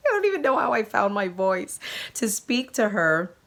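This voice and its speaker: Woman, slightly croaky voice, gushing, excitable storytelling, mid pitch. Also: moderate pace. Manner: gushing and excitable, laughing often. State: recovering from a sore throat. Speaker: podcast host. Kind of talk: casual storytelling to viewers.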